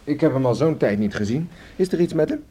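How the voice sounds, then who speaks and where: A man's voice: on the high side, expressive, a little fast, dark tone, close to an everyday voice. An actor playing a polite, concerned young man, a student's friend, in a radio drama. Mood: friendly. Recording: faintly noisy radio play recording.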